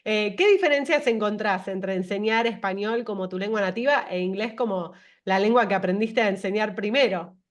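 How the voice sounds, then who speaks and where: A woman hosting an online interview, friendly and quick with agreeing sounds. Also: medium pitch. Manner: friendly, frequent backchannel, laughs often. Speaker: interview host. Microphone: video call.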